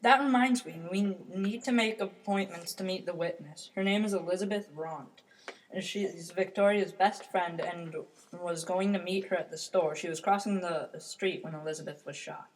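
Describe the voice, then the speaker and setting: A woman's voice: lowish voice, normal speed, lightly acted. A woman playing a dutiful male detective in an amateur old-time radio mystery. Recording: distant from the microphone.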